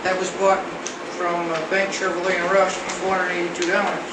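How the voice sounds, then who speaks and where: A man's voice: high-pitched, monotone, a little fast. An American man reading a prepared local history aloud to a meeting, recorded on an old reel-to-reel tape. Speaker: fire company captain presenting a history. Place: meeting room with a small audience. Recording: noisy old reel-to-reel tape, echoey, distant mic.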